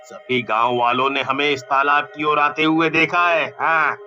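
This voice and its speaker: Casting: sleazy, jumpy young village crony, acted by a man, piercingly bright voice, unhurried, for a cartoon. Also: fairly high pitch, flat delivery, lo-fi sound, faint music behind. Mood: panicked. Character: sleazy young village sidekick, scheming yet easily panicked. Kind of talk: Hindi cartoon story voice acting.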